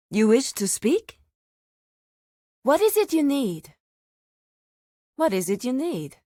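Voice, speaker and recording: A woman playing a solemn, zealous nature priestess in a fantasy video game, speaking in a formal, deliberate, theatrical way. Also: fairly high pitch, a little mellow, dry recording, studio quality, full character voice. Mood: calm, formal welcome.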